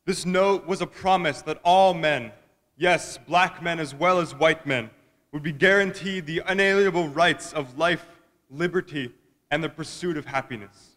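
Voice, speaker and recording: Guy with a slightly high voice reading a speech, echoey hall. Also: moderate pace, a bit bright. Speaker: guest reader at a commemorative event. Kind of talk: dramatic speech reading, oratory. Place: large indoor hall at a college event. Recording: reverberant hall, long echo.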